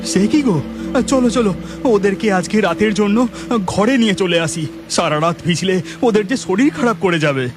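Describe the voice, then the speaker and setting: A woman's voice: medium pitch, animated, normal speed, pitched below the performer's own voice, close to an everyday voice. A woman voicing a caring young husband who talks in an earnest, pleading way in a cartoon. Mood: worried. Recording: music bed behind the voice.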